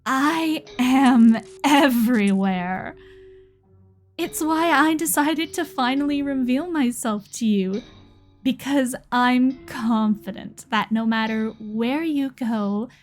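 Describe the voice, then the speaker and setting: A woman's voice: sits high, soft background music. A woman voicing a sweetly menacing yandere game girl. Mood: possessive. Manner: sweetly menacing, teasing, unhurried. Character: obsessive possessive yandere dating-sim girl, young. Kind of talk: ASMR roleplay.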